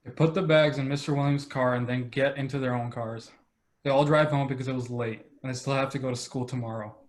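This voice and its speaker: A man's voice: mid-range voice, steady tone, fairly quick. A man narrating scene directions from a script at an amateur table read.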